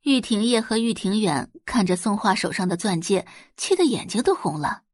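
Expressive woman audiobook narrator, lively pitch. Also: normal speed, husky, dry recording, studio quality. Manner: expressive storytelling. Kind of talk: dramatic scripted narration.

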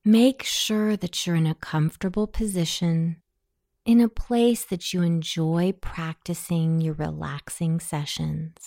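A woman guiding a hypnosis meditation in a soothing, measured way, with long pauses between phrases. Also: on the low side, steady tone, gravelly, mellow, no room sound, clean studio sound. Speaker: hypnosis session guide. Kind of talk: guided meditation, self-hypnosis narration.

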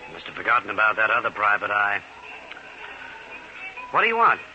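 Low, guarded underworld informant. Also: male voice, very animated, a little fast, music in the background. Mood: curt. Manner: curt, tough, wary. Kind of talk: old-time radio crime drama acting. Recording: hissy, muffled old radio recording.

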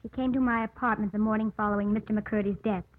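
An actress playing a cool, defiant woman attorney with an American accent and crisp, measured, formal delivery in old radio drama style. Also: medium pitch, steady tone, natural voice. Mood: composed, defiant. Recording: old, narrow tinny radio broadcast.

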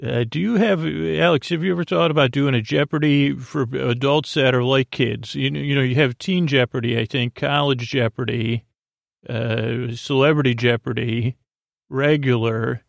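A man hosts a bedtime podcast in a gravelly, creaky voice at a middling pitch. He wanders from tangent to tangent, stalling on fillers and restarting words. The recording is close and dry, with no room sound. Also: steady tone, dry recording. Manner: meandering, halting, many fillers and restarts. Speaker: sleep podcast host. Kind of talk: rambling bedtime podcast intro.

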